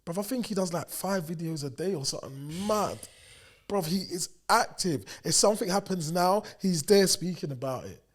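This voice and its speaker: A young man, a personal trainer co-hosting a podcast, talking in a slangy, animated way and often repeating himself. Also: high-pitched, normal speed. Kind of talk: casual chat.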